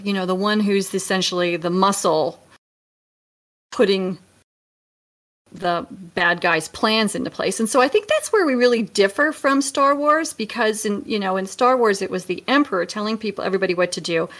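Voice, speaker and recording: A woman's voice: medium pitch, lively intonation, normal speed, fairly bright tone. A woman co-hosting a podcast, thinking aloud as she casually analyses and compares stories. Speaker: podcast co-host and author. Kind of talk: casual film discussion.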